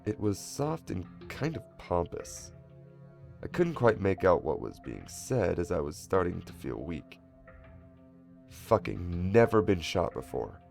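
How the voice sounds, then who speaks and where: A man narrates a dark first-person story in a very deep, gravelly voice. His delivery is dramatic and moves up and down a lot. Faint music plays underneath. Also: big pitch swings, unhurried.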